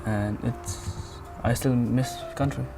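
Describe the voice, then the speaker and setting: Teenage boy from Nepal, halting English. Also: mid-range voice, steady tone, creaky, over music. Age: teens. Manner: halting, restarts often, plain simple English. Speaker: student showing his community. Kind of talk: casual documentary talk.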